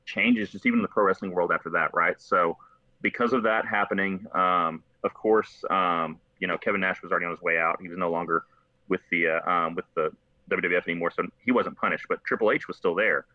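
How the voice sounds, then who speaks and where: Low-pitched man, American accent, quick pace, casual storyteller, dry slightly dull recording. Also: steady tone, dry recording. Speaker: podcast host.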